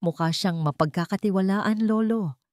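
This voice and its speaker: A woman's voice: mid-range voice, normal speed, darkish tone, no room sound, clean studio sound, close to an everyday voice. A woman voicing a charming young rich grandson with a calculating streak in an audio drama. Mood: cold, suspicious.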